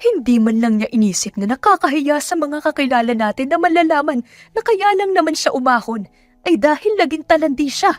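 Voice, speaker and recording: A woman acts out a furious middle-aged mother from the provinces in an audio drama. Her voice climbs very high as she shouts and scolds with open contempt. It is recorded close and dry over music. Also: lively intonation, a little fast, dry recording. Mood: contemptuous. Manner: shouting, scolding, spitting out harsh questions. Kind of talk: Tagalog audio drama acting.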